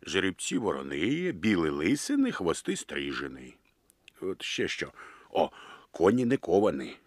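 Deep, husky Ukrainian village militiaman. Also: huge pitch swings, a little slow, no echo, full character voice. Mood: alert, urgent. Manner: folksy, exclamatory surzhyk speech. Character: earthy, deferential village militiaman, flattering his boss. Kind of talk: radio play acting.

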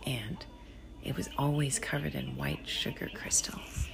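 A woman reading a literary short story in a hushed, deliberate, dramatic way, often sinking to a whisper. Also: extremely low pitch, steady tone, gravelly, poor audio. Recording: music behind the voice. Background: music.

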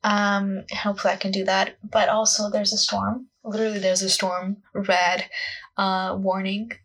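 A woman around twenty with a Portuguese accent chats casually into a solo podcast. Her voice is fairly husky, with plenty of vocal fry. She rambles in a scattered, self-conscious way, leaning on "like" as she goes. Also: medium pitch, level tone, normal speed, fairly bright tone, rough recording. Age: young adult, about 20. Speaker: podcast host, acting student.

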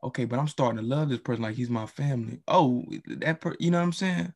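A man in casual podcast chat, relaxed and slangy, leaning on filler phrases. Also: mid-range voice, quick pace, vocal fry.

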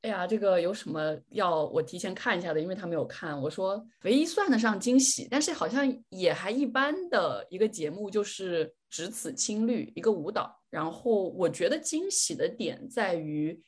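A woman chats casually as a podcast co-host. Her voice sits at a middle pitch and has a lightly husky edge. The delivery is informal and conversational. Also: animated, normal speed, hardly any pauses.

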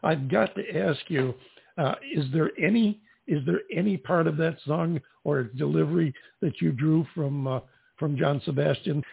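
Gravelly husky male host over a tinny line. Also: on the high side, moderate pace. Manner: chatty, repeats words while thinking. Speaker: radio show host. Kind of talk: casual interview chat.

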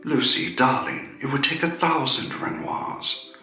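A persistent, flirtatious gentleman, acted by a man for an audio drama. He woos in an affected way, bright and creaky, with animated pitch in an echoing room. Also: unhurried, faint music behind, exaggerated voice. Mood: smitten, wooing. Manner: wooing, affected. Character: smooth-talking flirtatious gentleman suitor, theatrical and persistent. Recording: echoey room.

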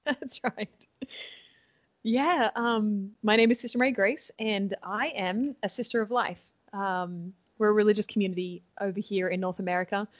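A woman's voice: mid-range voice, moderate pace, no room sound. A young adult woman from Sydney with an Australian accent, a nun guest chatting cheerfully in an interview, laughing often and saying um a lot. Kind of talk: casual interview chat.

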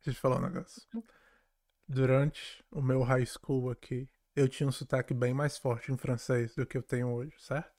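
A man's voice: mid-range voice, level tone, normal speed, a little mellow. A man telling personal stories in casual chat, acting out quotes and often checking that the listener follows.

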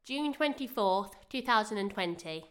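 A woman playing a gentle, stoical elderly woman in an audio drama. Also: medium pitch, lively intonation, normal speed, faint music behind, natural voice. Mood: narrating. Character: gentle, stoical elderly woman May, retirement home resident.

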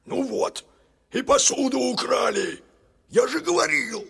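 Grouchy old cartoon dwarf, really high creaky voice, grumbles and orders everyone around. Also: male voice, huge pitch swings, a little slow, a bit bright, exaggerated voice. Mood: gloomy alarm. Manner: grumbling, gloomy, bossy. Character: grumpy, suspicious old dwarf, centuries old. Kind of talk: cartoon voice acting.

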